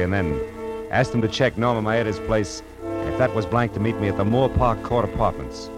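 Deep-voiced, hard-boiled radio detective played by a man. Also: natural voice. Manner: clipped, wry, world-weary. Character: hard-boiled, wry male private detective. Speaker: narrator and lead actor. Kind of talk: 1940s radio noir acting and narration. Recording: old radio recording with music.

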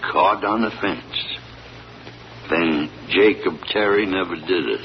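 An actor playing a weary rural farmer father just out of prison, in a slow folksy drawl, in 1940s radio acting style. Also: deep voice. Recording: noisy lo-fi old radio recording.

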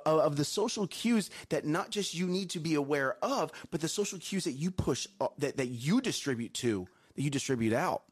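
Loud emphatic man giving life advice. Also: a little high, slightly rough. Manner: emphatic, repeats himself, asks "okay?" and "right?". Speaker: morning show co-host and coach. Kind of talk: casual chat, preachy life advice.

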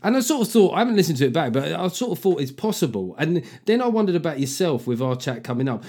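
A man's voice: fairly high pitch, very animated, quick pace, a little mellow, slightly rough. A man with a London accent hosting a casual podcast interview, asking thoughtful, probing questions with loose restarts. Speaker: podcast host.

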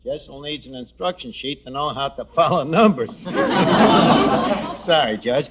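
A man's voice: a little high, expressive, measured pace, over a noisy crowd. An actor playing a scheming, slangy working-class New York barman with wry asides in 1940s radio comedy style. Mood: sardonic. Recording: noisy old radio broadcast recording.

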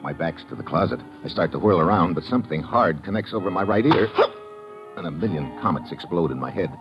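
Deep-voiced hard-boiled American agent. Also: male voice, animated, brisk, muffled recording. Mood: tense narration. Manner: hard-boiled, terse, slangy. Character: tough, wry American male agent on the run. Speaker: lead actor and narrator. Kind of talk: old radio crime drama narration and acting. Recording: band-limited old radio recording, dramatic music.

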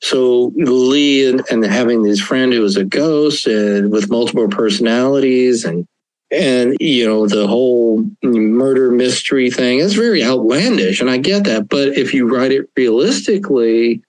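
A man talks casually as a guest author, rambling a little and filling gaps with "you know". His pitch sits in an average range, and the recording is dry and close with no room sound. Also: measured pace, darkish tone, no echo, rough recording. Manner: rambling, chuckling, many fillers. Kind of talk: casual interview chat.

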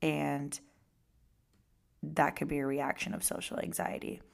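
A young woman hosting a casual solo podcast, her voice raspy and hoarse with a gravelly fry running through it. She sits low in her range and chats loosely, dropping "like" into almost every sentence. She sounds relaxed and unscripted, as if talking to listeners she knows. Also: unhurried. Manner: chatty, lots of "like" fillers. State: hoarse from singing and screaming. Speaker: podcast host.

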